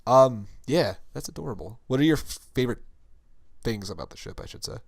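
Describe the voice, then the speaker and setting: A young man co-hosting a casual chat show, his voice hoarse, deep and gravelly from screaming, rambling upbeat and laughing often. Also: animated, fairly quick, no echo, studio quality. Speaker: podcast co-host.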